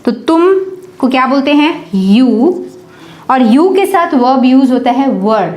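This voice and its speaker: A woman teaching a beginners' English grammar lesson at a board. She patiently asks the class questions, answers them herself, and says example sentences slowly, word by word. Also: sits high, animated, a little mellow, reverberant.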